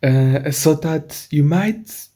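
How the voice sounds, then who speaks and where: Slow, mellow man with a Portuguese accent. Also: a little high. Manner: hesitant, halting, rambling with frequent restarts. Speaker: amateur video maker. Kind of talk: casual explanatory chat to viewers.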